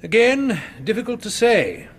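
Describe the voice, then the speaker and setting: A man's voice: very high voice, sing-song, a little slow, exaggerated voice. An actor playing a formal, careful male doctor giving precise evidence in a period courtroom radio drama. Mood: cautious. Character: measured, formal male post-mortem doctor as witness.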